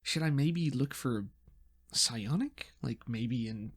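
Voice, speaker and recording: Man as a halting, creaky-voiced anxious young woman. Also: mid-range voice. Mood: worried, hesitant. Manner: halting, hesitant, uncertain. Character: anxious, hesitant woman friend, unsettled and unsure.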